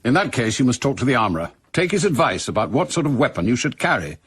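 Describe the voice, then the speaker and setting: Stern, husky British spy chief. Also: male voice, mid-range voice, big pitch swings, a bit bright, no echo. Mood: stern, probing. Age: middle-aged. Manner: brisk, authoritative, clipped. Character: stern, clipped middle-aged male intelligence service chief. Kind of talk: radio drama acting.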